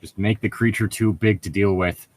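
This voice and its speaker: A man's voice: on the low side, steady tone, normal speed, slight background noise. A man co-hosting a casual read-aloud of fan fiction, breaking in with irreverent, sweary, joking commentary.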